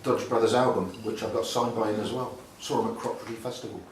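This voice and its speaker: A man's voice: lowish voice, steady tone, fast talker. A man taking part in a quiz, giving answers and chatting casually. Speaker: quiz contestant. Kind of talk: casual quick answers and chat. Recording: echoey room, distant mic, noisy.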